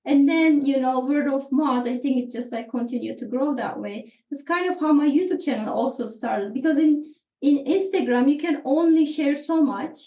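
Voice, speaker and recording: A woman's voice: sits high, normal speed, dark tone. A woman from Turkey with a Turkish accent and native Turkish, talking earnestly as an interview guest, often repeating words as she searches for phrasing. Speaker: podcast guest, therapist. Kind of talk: conversational interview. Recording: echoey room, distant from mic.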